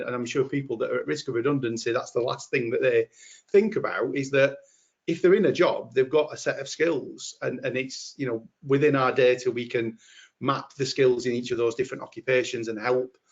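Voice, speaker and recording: A man's voice: medium pitch, a little fast, lo-fi sound. A man with a Yorkshire accent answering earnestly on a webinar panel, often repeating words as he thinks. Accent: Yorkshire English.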